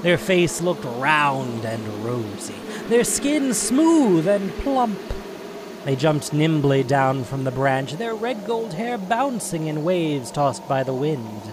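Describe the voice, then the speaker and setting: A man's voice: fairly high pitch, big pitch swings, slow pace, noisy background. A man narrating an audio drama, reading scripted prose in a dramatic storytelling style.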